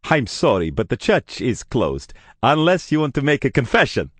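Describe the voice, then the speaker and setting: A man's voice: mid-range voice, sing-song, moderate pace, no echo, voice pushed up. A man voicing an elderly priest in an audiobook, speaking politely and hesitantly. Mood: nervous. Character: nervous elderly Italian male priest.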